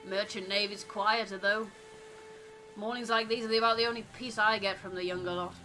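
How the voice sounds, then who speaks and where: Older sailor at the helm, played by a woman, calm, plain-spoken, unhurried, game character, clear music bed. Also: mid-range voice, natural voice, poor audio. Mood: calm, reflective. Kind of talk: character voice acting in a game playthrough.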